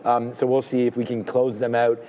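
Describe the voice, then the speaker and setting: A man hosting a live show, chatting in a relaxed, rambling way with fillers. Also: mid-range voice, fairly quick, a little hiss or hum. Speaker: show host signing off. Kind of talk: casual show chat.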